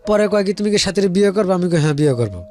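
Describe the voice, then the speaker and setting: High-pitched Bangladeshi man telling his story. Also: animated, rapid-fire, darkish tone, faint music behind. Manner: rambling, repeats phrases, quotes dialogue. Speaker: guest telling their life story. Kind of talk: personal storytelling in casual colloquial speech.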